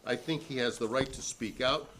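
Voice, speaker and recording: A man's voice: medium pitch, normal speed, fairly bright tone, slight background noise, natural voice, poor audio. A man playing a defiant, principled newspaperman who testifies formally and argumentatively at a 1958 hearing in a dramatic reading.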